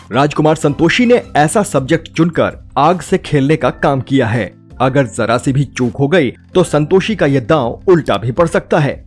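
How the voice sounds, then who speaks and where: A man narrates a scripted film review with emphatic, rhetorical phrasing. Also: medium pitch. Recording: music bed under the voice. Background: background music.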